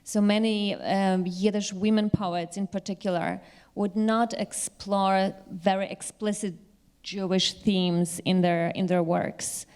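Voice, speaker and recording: A woman's voice: mid-range voice, steady tone, slow, darkish tone, smooth. A woman speaking as a scholar in an academic panel discussion. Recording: echoey hall, long reverb.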